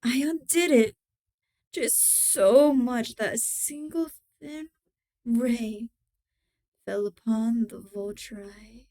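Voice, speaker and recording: A mad, obsessive murderer acted out by a woman confesses in a gothic horror story. The voice is smooth and fairly high, with very slow, deliberate phrasing that shifts from sly hush to theatrical emphasis. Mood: cunning, hushed. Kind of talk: dramatic horror story reading.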